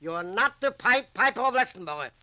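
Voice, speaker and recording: A man's voice: sits high, animated, quick pace, pushed and bright, heavily acted. A man playing an exasperated, grumbling immigrant husband with a New York Yiddish accent, emphatic and curt, in 1940s radio drama style. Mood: exasperated, scolding.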